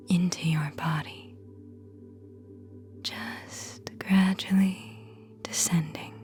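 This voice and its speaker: A woman whispers a guided sleep meditation in a lowish register. She moves extremely slowly, leaving long pauses between short, soothing, hypnotic phrases. It is intimate ASMR delivery throughout. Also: darkish tone.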